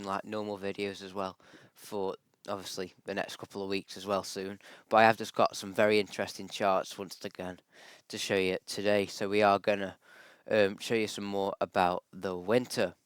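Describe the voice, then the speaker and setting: A man's voice: on the low side, fairly even intonation, normal speed, few pauses, clean tone, no echo. Man with a cold, forecasting. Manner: chatty, lots of ums and likes, thinks aloud. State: has a bad cold, voice a little off. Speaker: weather forecaster. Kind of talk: casual forecast vlog over screen-shared charts.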